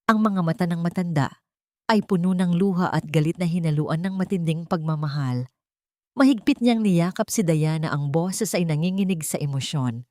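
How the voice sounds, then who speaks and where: Female storyteller, low voice, solemn scripted narration, close dry sound. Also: moderate pace, darkish tone, no echo.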